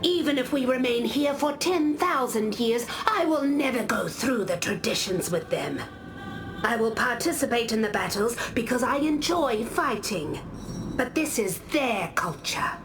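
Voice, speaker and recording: Aloof scholarly warrior spirit, game monologue, high female voice, unhurried and deliberate, music behind. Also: animated. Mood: guarded, reflective. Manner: measured, reflective, deliberate storytelling. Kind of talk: scripted game character monologue.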